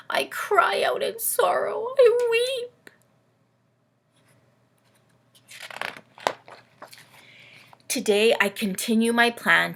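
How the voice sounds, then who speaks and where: Woman voicing a slow, creaky, self-pitying storybook camel. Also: a little high, exaggerated voice. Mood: sorrowful, weepy. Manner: theatrical, drawn-out, expressive storybook delivery. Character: self-pitying, melodramatic, vain male camel of the Nativity.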